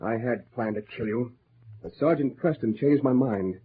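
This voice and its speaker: An actor playing an intense frontier doctor in a radio drama, speaking with dramatic emphasis. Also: male voice, medium pitch, moderate pace, natural voice. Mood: accusing. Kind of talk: 1940s radio acting. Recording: tinny, noisy old radio recording.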